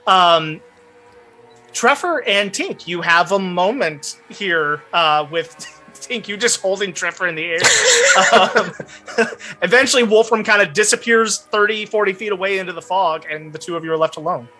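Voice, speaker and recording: A man's voice: high-pitched, normal speed, music in the background. A man narrating a tabletop roleplaying game as game master, improvising descriptive scenes.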